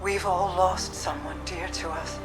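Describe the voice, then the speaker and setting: An actress playing a grave, compassionate woman sage, speaking in a measured, formal, poetic way for a game cutscene. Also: fairly low pitch, fairly even intonation, loud music behind the voice, lightly acted. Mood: gentle sorrow. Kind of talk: dramatic video game cutscene.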